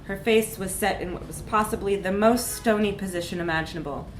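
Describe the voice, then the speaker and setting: A woman reading a comic short story aloud in a live literary reading, with expressive, dramatic emphasis. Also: medium pitch, fairly quick, soft background music. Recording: echoey room with background noise.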